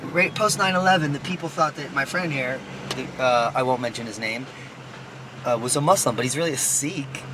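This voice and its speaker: Male, medium pitch, casual on-location explanation, noisy outdoor recording. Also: a little fast. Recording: noisy outdoor location audio, vehicle sounds.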